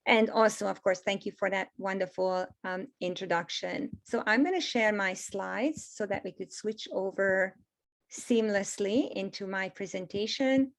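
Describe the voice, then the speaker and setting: A woman's voice: medium pitch, unhurried, creaky. Hungarian-accented woman presenting a webinar. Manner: gracious, emphatic repetitions. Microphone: video call.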